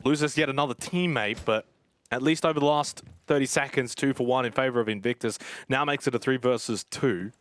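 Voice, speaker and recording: Excitable male esports play-by-play caster. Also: a little fast. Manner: excitable, voice jumps high at big plays. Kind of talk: play-by-play commentary.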